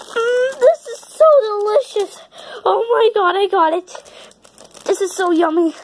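Woman as a very high-pitched excitable toy fox. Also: slow, heavily acted. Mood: shrill excitement. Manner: gushing, gasping, shrieking, bickering. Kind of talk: improvised toy play skit.

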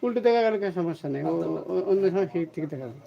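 A woman's voice: fairly low pitch, lively intonation, very fast, creaky, lo-fi sound, crowd noise. A woman teaching grammar to camera, explaining emphatically and repetitively, asking questions and answering them herself. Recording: distant mic, faint background noise.

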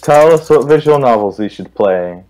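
A young man chatting casually and telling stories in a halting, repetitive way. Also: medium pitch, lively intonation, a little mellow, slight background noise, poor audio. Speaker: guest co-host on a gaming stream. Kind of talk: casual group chat, rambling storytelling.

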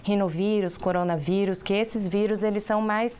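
A woman's voice: mid-range voice, fairly even intonation, normal speed, a little mellow, clear, resonant tone. A woman doctor, explaining health advice patiently on a live TV talk show, recorded in a studio on a lapel mic. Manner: patient, explanatory, with frequent filler tags. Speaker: doctor guest.

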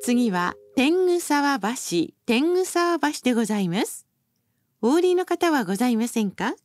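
High-pitched woman making formal bus announcements. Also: big pitch swings, a little slow, darkish tone. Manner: formal, polite, carefully enunciated. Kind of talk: scripted recorded bus announcements.

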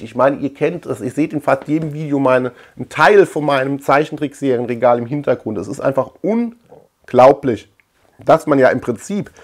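A man in his thirties talking casually in a chatty, digressive way, thinking aloud as he hosts a collector vlog. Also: mid-range voice. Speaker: host of a collector video channel. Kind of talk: casual rambling vlog talk. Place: small indoor room lined with shelves.

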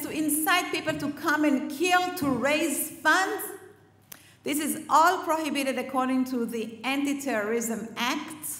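A middle-aged woman gives a prepared conference speech on stage, speaking in a measured and emphatic way on a headset mic. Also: high-pitched, a bit bright. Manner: measured, emphatic, list-like delivery. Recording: echoey hall.

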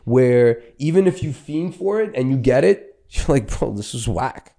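A man in his thirties with a dark, mellow tone at a middle pitch. He talks in casual podcast banter, blunt and argumentative, swearing and using slang. He is close on a studio mic. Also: animated, normal speed. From Ukraine, moved to Brooklyn as a child. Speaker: podcast host. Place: indoor studio. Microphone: close boom-arm studio microphone.

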